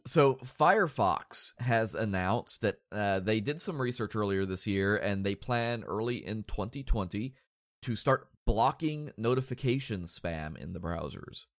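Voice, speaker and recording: A man's voice: on the low side, expressive, a little slow, dry recording, studio quality. A man hosting a casual podcast, stuffy and nasal from a cold, rambling and joking at his own expense. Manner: rambling, self-deprecating, joking. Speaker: podcast host.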